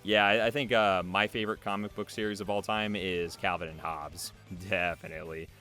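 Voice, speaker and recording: A man's voice: deep voice, fairly even intonation, moderate pace, sharp tone. A man hosting a casual interview, asking questions and chatting. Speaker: interviewer, host. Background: video game music.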